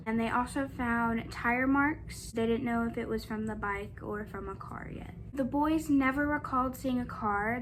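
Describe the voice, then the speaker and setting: A woman's voice: a little high, slight background noise, poor audio. A woman casually narrating a true crime case for her vlog, with an occasional um. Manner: plain retelling with frequent ums.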